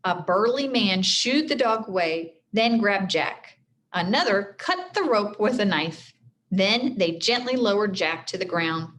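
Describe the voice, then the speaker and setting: A woman's voice: medium pitch, expressive, unhurried, a bit bright. A woman teacher reading a storybook aloud expressively, with simple explanatory asides for children.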